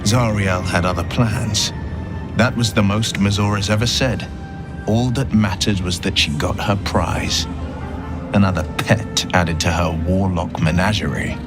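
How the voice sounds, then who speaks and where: Slow, solemn haunted young nobleman warlock. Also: sits low, steady tone. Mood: bitter, subdued. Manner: solemn, measured, earnest. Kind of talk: dramatic game dialogue, storytelling. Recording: dramatic music loud behind the voice. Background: loud cinematic music.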